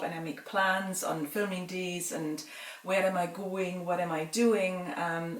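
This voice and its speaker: Calm German-raised woman vlogging from afar. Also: fairly low pitch, far from the mic. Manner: calm, measured, with frequent ums. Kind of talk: casual Q&A talk to viewers. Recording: roomy sound from away from the mic.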